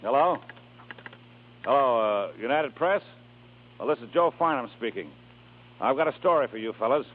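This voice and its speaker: An actor playing a brash, bossy press agent in a 1930s radio drama, on a tinny recording. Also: male voice, medium pitch, animated, normal speed, long pauses, slight background noise, close to an everyday voice. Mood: brisk.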